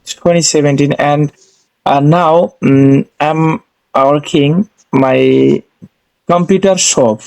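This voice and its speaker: A man's voice: fairly high pitch, fairly even intonation, slow pace, creaky, a little mellow, clean tone, rough recording. A man with an Indian accent leading a casual English practice conversation as a learner, hesitant and often repeating his phrases.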